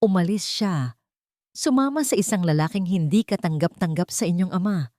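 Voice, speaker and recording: A woman voicing an old male family lawyer who gives quick, rehearsed-sounding answers. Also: fairly low pitch, lively intonation, mellow, dry recording, clean studio sound, close to an everyday voice. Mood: evasive.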